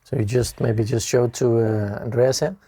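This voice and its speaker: A man's voice: mid-range voice, fairly even intonation, moderate pace, warm tone, slightly rough. A man interviewing a footballer in English, friendly and self-deprecating, with many filler sounds. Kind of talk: casual interview.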